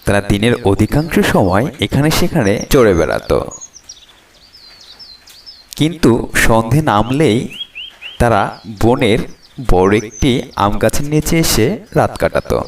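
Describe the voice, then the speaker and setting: A man's voice: on the low side, big pitch swings, a little slow, long pauses, slight background noise. A man giving a dramatic scripted narration of a fairytale as the storyteller. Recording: distant voice under loud music.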